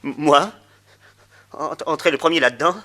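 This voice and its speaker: A man's voice: a little high, moderate pace, pushed and bright. An actor playing a fussy, easily rattled showman who gets indignant, stammers and panics in a radio drama. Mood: panicky. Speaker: wagon owner. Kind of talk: vintage radio drama acting.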